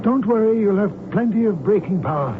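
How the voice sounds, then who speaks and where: A tinny, noisy old radio drama recording carries a hijacker reverend. His voice sits fairly high for a man. He is formal and smug, issuing commands with cool menace. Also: expressive, fairly quick. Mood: brisk, commanding. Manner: formal, commanding, coolly threatening.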